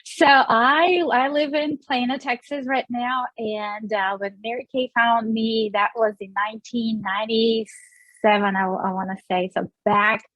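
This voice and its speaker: A woman's voice: a little high, fairly even intonation, measured pace, no echo, lo-fi sound. A woman in her forties from Russia with a Russian accent, chatting as an interview guest, with many fillers and restarts. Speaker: guest, sales director. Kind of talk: casual interview.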